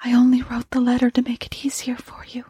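A woman voicing a dead wife's ghost in a gothic story, weary, tender and pleading, with a faint, hollow, breathy voice. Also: fairly high pitch, monotone, quick pace, dark tone, no room sound, studio quality, pitched above the performer's own voice.

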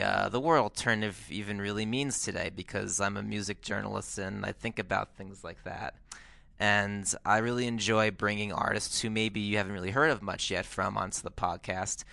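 American male podcast host, low creaky voice, casual interviewer delivery, close dry sound. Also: bright, forceful tone, no echo. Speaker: podcast host, music journalist.

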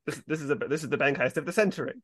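A man's voice: on the high side, racing, fairly bright tone, no echo. Casual chatty man in a dry recording. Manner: chatty, frequent "like" and hedging. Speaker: podcast co-host. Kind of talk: casual chat discussing a TV series.

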